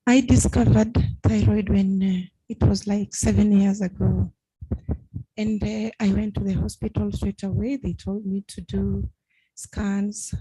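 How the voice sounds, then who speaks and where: A woman's voice: medium pitch, mellow, slightly rough, no echo, low-quality recording. A woman in her fifties who is losing her voice, earnestly telling a health forum about her thyroid troubles. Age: 50s. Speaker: patient asking a question. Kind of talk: personal account at a health forum.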